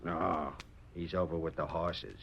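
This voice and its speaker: A man's voice: sits low, steady tone, measured pace, vocal fry. An actor playing a calculating outlaw henchman with a folksy cowboy drawl in an old-time radio western. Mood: sly. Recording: muffled, noisy vintage radio recording.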